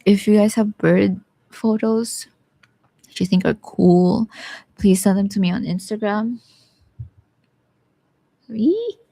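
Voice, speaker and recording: A young woman with a Bisaya Filipino accent who teaches watercolor live, talking casually and thinking aloud as she paints, with some quiet asides. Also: mid-range voice, lively intonation, slow, gravelly, long pauses, no echo, low-quality recording. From Philippines. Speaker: watercolor artist streaming live. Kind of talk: live painting tutorial with chat replies.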